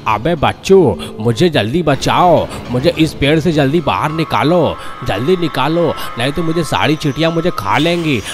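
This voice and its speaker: A man voicing a whiny, bossy yet helpless comic boy in a children's cartoon, with drawn-out pleading cries. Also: on the high side, animated, lightly acted. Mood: desperate. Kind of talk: Hindi children's cartoon acting. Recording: music clearly under the voice.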